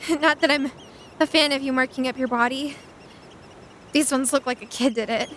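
A woman playing a tender, guilt-ridden young fantasy adventurer with a halting, anxious, caring delivery, in an audio drama. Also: sits high, steady tone, fairly bright tone, a little hiss or hum. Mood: tender worry. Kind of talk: audio fiction drama acting.

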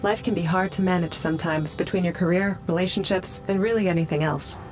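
A woman's voice: lowish voice, fairly even intonation, brisk, faint music behind, close to an everyday voice. A woman playing a helpful female AI assistant in a scripted podcast ad, composed and obliging.

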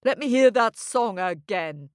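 A towering, two-headed male giant with a greedy, tricky streak, booming and bellowing as he coaxes, as a woman storyteller plays him. He talks slowly in a dry, close sound. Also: expressive, dry recording, natural voice. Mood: booming, wheedling. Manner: roaring, bellowing.

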